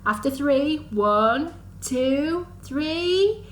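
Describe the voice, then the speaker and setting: High-voiced woman reading slowly to little children. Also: animated. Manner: sing-song, exaggerated, asks the listeners questions. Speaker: children's storyteller at an under-fives group. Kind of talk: animated storytime reading to young children. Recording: echoey room, faint background noise.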